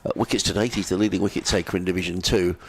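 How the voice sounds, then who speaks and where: A middle-aged man with a very deep voice commentates on cricket, friendly and meandering, drifting from the play into chatty asides. His delivery is relaxed and conversational. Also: very fast, breathy edge. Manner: rambling, friendly, lots of fillers and restarts.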